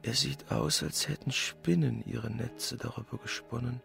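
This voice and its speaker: An actor in a German radio play playing a distraught, stubborn widowed husband, with a husky, creaky voice. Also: male voice, medium pitch, very animated, measured pace, a bit bright, faint music behind, exaggerated voice. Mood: stunned sorrow.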